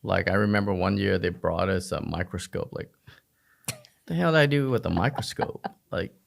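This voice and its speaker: Creaky low-voiced man chatting informally. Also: normal speed. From United States, raised in Oklahoma and elsewhere, Vietnamese family. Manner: informal, self-deprecating, rhetorical questions. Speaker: podcast guest, physician. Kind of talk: casual personal storytelling in a conversation.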